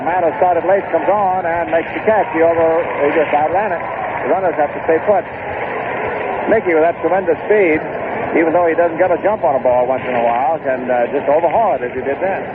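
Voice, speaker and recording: An American man calling baseball play-by-play in 1960s radio style, with a conversational, folksy delivery and unhurried asides. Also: fairly high pitch. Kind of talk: 1960s live radio sports commentary. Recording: old AM radio broadcast, narrowband, heavy noise.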